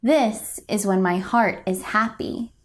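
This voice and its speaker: A young woman reading a children's picture book aloud in a gentle, expressive, deliberate storytelling manner. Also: mid-range voice. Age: young adult. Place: indoors, a home room.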